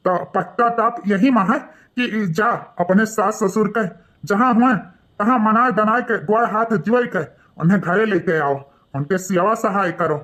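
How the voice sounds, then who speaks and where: Guy doing a stern cartoon pandit, awadhi accent, high bright voice, preachy n scolding. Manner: preachy, admonishing. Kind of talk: melodramatic moral cartoon voice acting.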